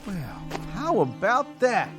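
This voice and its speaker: A man's voice: high-pitched, wildly swooping pitch, takes it slowly, a bit bright, soft background music, heavily acted. A man playing an earnest, jittery, naive National Guard private in a radio drama. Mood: wondering. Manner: eager, gullible.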